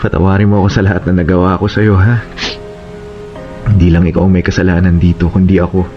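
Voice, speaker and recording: A fairly low voice of a devoted, remorseful family man played by an actor in a radio drama. He speaks earnestly and tenderly. The audio is slightly muffled over faint music. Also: flat delivery, fast talker, close to an everyday voice. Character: devoted, remorseful father and suitor. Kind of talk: Tagalog radio drama acting.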